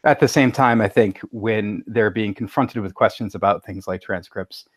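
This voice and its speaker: A man's voice: on the low side, normal speed, slightly rough, dry recording, studio quality. A man speaking earnestly and supportively as a panelist in a casual podcast discussion.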